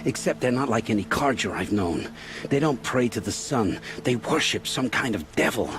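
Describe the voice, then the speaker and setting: A grim, world-weary male captive played by a man confesses in halting, folksy phrases, like a scavenger who has seen too much. The delivery is dramatic video game dialogue in a middling register, with clear ambient noise behind it. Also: mid-range voice, animated, close to an everyday voice. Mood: grave warning. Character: frightened, world-weary captive scrounger, man turned reluctant informant.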